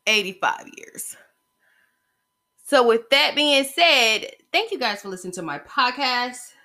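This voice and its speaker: A woman's voice: fairly high pitch, expressive, unhurried, sharp tone. An American woman in her thirties chatting casually alone as a podcast host, rambling in a sassy, slangy way. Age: 30s. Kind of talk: casual solo podcast chat.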